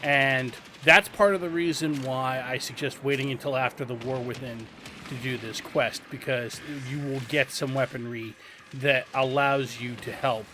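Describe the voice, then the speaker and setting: A man's voice: medium pitch, pushed and bright, slight background noise. A man hosting a game playthrough, explaining mechanics and giving tips to viewers. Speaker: gaming channel host. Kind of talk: let's play game commentary.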